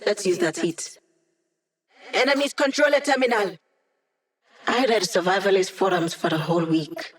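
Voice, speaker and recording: A woman's voice: medium pitch, normal speed, long pauses, close to an everyday voice. Casting: actress as a young female hacker turned digital mind, wry and playful squad helper for a video game, mid-range voice. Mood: wry, upbeat. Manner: casual, playful, teasing. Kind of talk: video game character voice lines.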